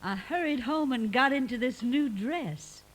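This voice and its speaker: A woman's voice: high voice, lively intonation, unhurried. A woman playing a sweet, doting, melodramatic saloon sweetheart in a 1950s radio comedy. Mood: adoring. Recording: very noisy old 1950s radio recording.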